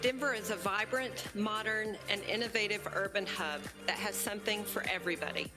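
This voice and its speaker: Woman, mid-pitched, scripted promotional read, clear music behind. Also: a little fast. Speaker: city economic development representative.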